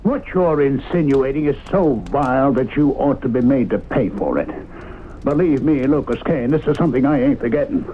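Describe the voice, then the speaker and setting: A man's voice: medium pitch, normal speed, natural voice. An actor playing an indignant, hot-headed small-town man, blustering and folksy, in an old radio drama. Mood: furious. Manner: blustering, folksy, forceful wording. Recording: tinny, noisy old radio broadcast recording.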